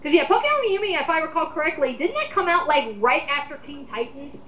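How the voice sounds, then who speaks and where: A woman's voice: high voice, lively intonation, quick pace, slight background noise. A woman co-hosting an online commentary, chatting casually and giving her opinions in a rambling, informal way with occasional swearing. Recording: roomy, dull lo-fi home recording.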